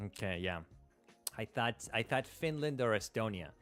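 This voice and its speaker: A man's voice: lowish voice, lively intonation, normal speed, a bit bright. Stream host, man in his thirties, first language Spanish, casual and hesitant, faint music under the voice. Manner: thinks aloud, hesitant, many fillers and restarts. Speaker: livestream host. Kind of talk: casual live-stream commentary while playing. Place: small room at a desk. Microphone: close studio mic on a stand.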